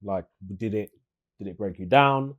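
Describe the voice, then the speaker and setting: A man's voice: fairly low pitch, normal speed, muffled recording. A man hosting an interview, chatty and enthusiastic with loose phrasing. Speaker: host.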